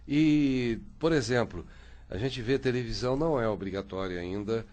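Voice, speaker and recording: A man's voice: medium pitch, moderate pace, darkish tone, slight background noise. A man hosting a radio interview, asking long, rambling questions full of fillers. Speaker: radio host.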